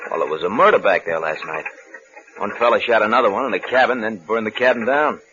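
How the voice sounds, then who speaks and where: A man's voice: on the low side, quick pace, very noisy, close to an everyday voice. An actor playing a chatty, folksy working man who makes colloquial small talk in a 1950s radio drama. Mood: gossipy, easygoing. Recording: dull vintage radio recording with music.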